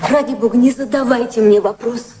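An actress in a radio drama playing a frightened, ashamed society woman, pleading in a hushed, dramatic way. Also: fairly high pitch, steady tone, a little fast, noisy background, natural voice. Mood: tense, shaken.

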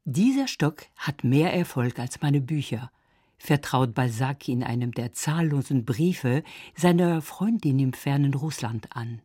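A woman's voice: extremely low pitch, a little slow, mellow, breathy edge, no echo, studio quality. A woman reading scripted narration for a radio feature. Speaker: documentary narrator.

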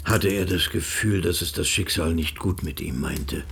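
A man's voice: sits low, normal speed, gravelly, fairly bright tone, a little hiss or hum. An actor as the somber teller of a desperate man's thoughts in a scripted radio drama narration.

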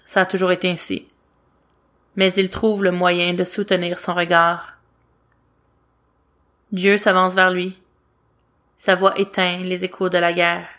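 A woman's voice: lowish voice, a bit bright. Woman reading a story aloud. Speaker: amateur fan fiction reader. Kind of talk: scripted story narration.

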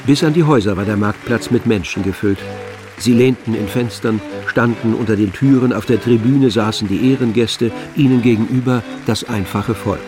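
An actor as the composed narrator of a literary radio drama, speaking in a measured, matter-of-fact way. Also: male voice, fairly low pitch, over music, close to an everyday voice.

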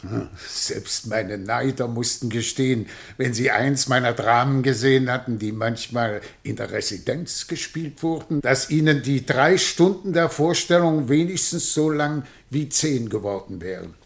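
A man's voice: medium pitch, unhurried, gravelly, hardly any pauses. An actor in a German radio play, playing a self-satisfied male dramatist who speaks with pompous pride. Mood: smug. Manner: smug, pompous.

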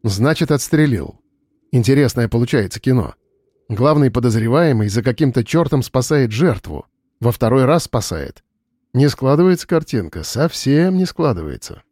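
Man playing an older male detective, stern, clipped, commanding, medium pitch, close dry sound, audiobook. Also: animated, normal speed, warm tone, dry recording, close to an everyday voice. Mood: puzzled, brooding. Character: stern, weary older male police investigator.

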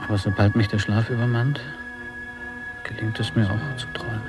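A man's voice: on the low side, fairly even intonation, brisk, over loud music, lightly acted, poor audio. An actor playing a weary, anxious male polar explorer speaking a diary-like monologue in a radio drama. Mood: weary, brooding.

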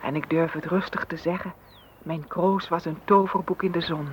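A man's voice: fairly high pitch, fairly even intonation, moderate pace, lightly acted. A man playing a wistful, anxious flowing stream in a poetic radio play. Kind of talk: Dutch radio play acting. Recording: noisy old radio play recording.

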